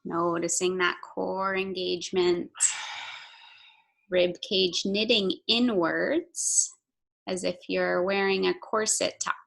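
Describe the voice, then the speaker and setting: A woman yoga instructor giving soothing, measured breathing and movement cues with audible breaths. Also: fairly low pitch, steady tone, vocal fry, fairly bright tone, rough recording.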